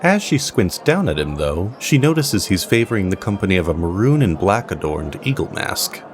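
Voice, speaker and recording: A man narrating an audio drama as storyteller, with measured, theatrical pauses. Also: low voice, sing-song, slight background noise.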